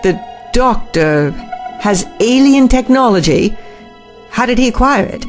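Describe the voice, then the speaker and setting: A woman playing an excited, ambitious female scientist in a science fiction audio drama, formal and articulate. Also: fairly high pitch, measured pace, faint music behind. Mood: surprised.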